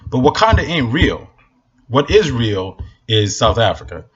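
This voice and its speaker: A man's voice: medium pitch, sing-song, a little slow, husky, muffled recording. A man from Northern Nigeria, a native Hausa speaker, giving a blunt, impassioned opinion rant to camera in a casual vlog. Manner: blunt, impassioned, repeats for emphasis. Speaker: vlogger.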